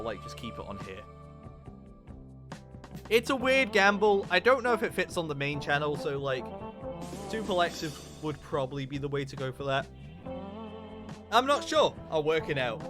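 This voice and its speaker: A young man streaming a game, chatting casually in a rambling way with lots of fillers and restarts. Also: mid-range voice. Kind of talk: casual livestream chat while gaming. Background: game music.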